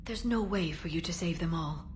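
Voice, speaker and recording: Woman playing a low-pitched, caring shipmate. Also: normal speed, slight background noise, natural voice. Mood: concerned, reassuring. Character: gentle, concerned female confidante and shipmate. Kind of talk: video game cutscene dialogue.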